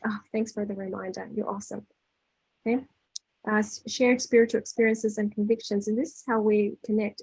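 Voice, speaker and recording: A woman's voice: medium pitch, breathy edge, no room sound, poor audio. Woman giving a casual, dry-sounding inspirational talk. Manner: conversational, acts out dialogue, often checks in with listeners. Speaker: speaker leading a spiritual talk.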